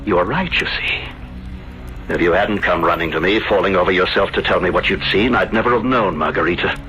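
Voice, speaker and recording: An actor playing a vengeful, cruel murderer in a theatrical, taunting radio drama style. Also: male voice, low voice, brisk, bright, forceful tone. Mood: bitter menace. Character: vengeful, gloating murderer, a cruel jilted husband. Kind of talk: 1970s radio drama acting. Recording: dull vintage radio recording, music behind.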